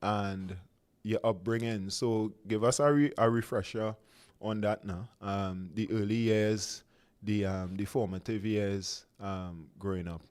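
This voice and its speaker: A man's voice: on the low side, a little slow, clear, resonant tone. Laid-back Trinidadian man hosting. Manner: relaxed, laughing often, trailing off with fillers. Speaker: radio show host. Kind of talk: casual radio interview.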